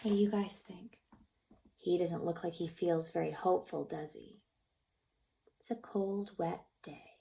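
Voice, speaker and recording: A woman reading a picture book aloud to young children as a childcare teacher, expressive and gently encouraging, prompting her listeners with questions and often dropping into a near-whisper. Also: on the low side, a little slow, creaky, long pauses.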